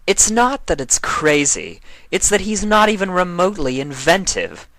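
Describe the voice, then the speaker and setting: Aggrieved dark alicorn queen for a cartoon story male performer, intense, theatrical, forceful edge. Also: high voice, sing-song, moderate pace, dry recording, pitched above the performer's own voice, heavily acted. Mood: exasperated, scornful. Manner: dramatic, impassioned delivery. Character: female pony alicorn villain Nightmare Moon, aggrieved and intense. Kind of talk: fan fiction reading, cartoon villain.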